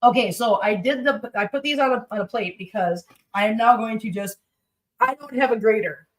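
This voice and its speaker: A woman's voice: fairly high pitch, normal speed, recorded from a distance, a little hiss or hum, poor audio. A woman hosting a livestream from her home kitchen, chatting casually while cooking. She sounds congested and rambles, talking to her pets and viewers.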